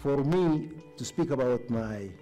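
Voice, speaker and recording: A man's voice: medium pitch, expressive, unhurried, dark tone, faint music behind. An older man from Sudan telling a personal story at a press panel, speaking into a table microphone. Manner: storytelling, with some fillers.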